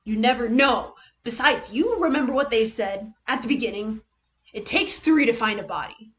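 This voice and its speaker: A woman voicing a brave, weakened teenage boy hero with earnest, halting bravado in a dramatic story reading. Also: on the high side, lively intonation, a bit bright, muffled recording. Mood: earnest reassurance.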